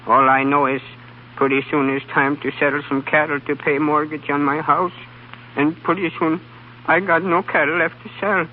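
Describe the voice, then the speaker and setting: Tinny radio actor as immigrant farmer. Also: male voice, fairly high pitch, little pitch movement, natural voice. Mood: worried, pleading. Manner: broken English, halting and folksy. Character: plain-spoken male immigrant farmer, worried and humble. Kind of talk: old-time radio drama acting. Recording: tinny, hissy old radio recording.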